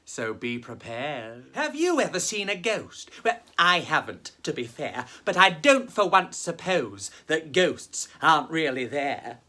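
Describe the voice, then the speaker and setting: A woman's voice: low-pitched, big pitch swings, unhurried, hardly any pauses, bright, forceful tone. A woman telling rhyming children's stories in a dramatic, playful way, speaking straight to her listeners. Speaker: children's storyteller and host. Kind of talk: theatrical rhyming storytelling for children.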